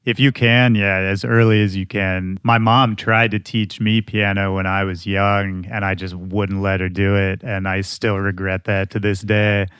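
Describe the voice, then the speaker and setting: Smooth low-voiced podcast host. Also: male voice, little pitch movement, a little slow, hardly any pauses, a bit bright, no room sound. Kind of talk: casual interview chat.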